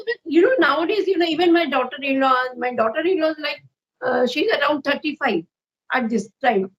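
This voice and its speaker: An older woman with a high voice leads a casual English practice chat. She asks easy personal questions and keeps dropping "you know". Her delivery is unhurried and conversational. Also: highs cut off.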